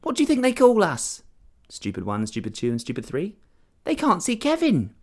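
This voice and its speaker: Guy doing a pestering know-it-all little kid from a story, pitched way up, questioning everything. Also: huge pitch swings, moderate pace, exaggerated voice. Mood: cheeky, excited. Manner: questioning, needling. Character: young boy, pestering, cheeky, know-it-all little brother. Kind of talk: short story character voice.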